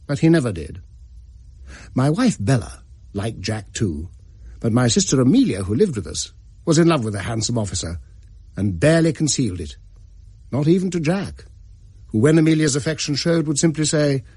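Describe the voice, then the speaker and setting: A man playing a polite, measured English gentleman of the Victorian era, narrating and acting in a period audio drama. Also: medium pitch, slightly rough, no echo. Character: genteel Victorian gentleman narrator, settled, earnest, cautious.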